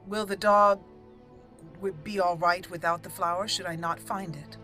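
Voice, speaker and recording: A woman's voice: fairly low pitch, fairly even intonation, moderate pace, pushed and bright, faint music behind. A woman hosting a casual roleplay game playthrough, chatty and giggly with playful exclamations. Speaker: let's play host. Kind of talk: casual gameplay commentary.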